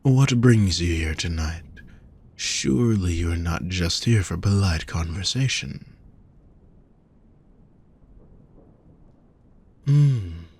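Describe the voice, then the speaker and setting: A man playing a gentle, teasing male demon companion in a roleplay audio, speaking courteously with genteel endearments to the listener. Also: low-pitched, lively intonation, slow pace, slightly rough, exaggerated voice. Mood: teasing, curious.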